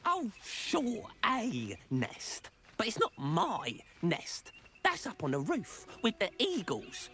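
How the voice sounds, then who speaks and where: A woman voicing a resentful, sardonic talking animal in a video game, in blunt, halting short phrases. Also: medium pitch, huge pitch swings, fairly bright tone, full character voice. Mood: bitter, grumbling. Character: sly, grumbling talking creature resenting bullying eagles. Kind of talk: video game creature acting.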